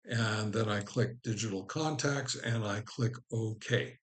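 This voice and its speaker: A man hosting a casual how-to video, talking informally and somewhat haltingly as he explains each step. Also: lowish voice. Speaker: host of a ham radio how-to channel. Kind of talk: casual tutorial narration.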